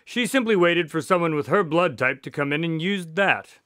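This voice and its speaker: A man's voice: a little high, normal speed, a bit bright, smooth, no room sound, clean studio sound, natural voice. A man playing an earnest doctor in a radio drama, the detective's companion, explaining things in a storytelling way. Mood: explanatory.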